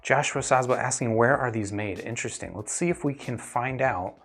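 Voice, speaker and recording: A man hosting a casual livestream as a gear reviewer, chatting with viewers in a halting, think-aloud way. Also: medium pitch, fairly bright tone, husky, faint music behind. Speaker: host, audio gear reviewer.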